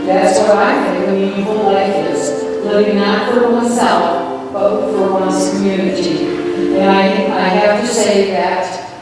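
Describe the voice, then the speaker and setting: A woman's voice: mid-range voice, steady tone, a little slow. A woman giving a thank-you speech to an audience at a meeting. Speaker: society speaker at annual meeting. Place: large echoey indoor dinner meeting room. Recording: very echoey hall, distant mic, music.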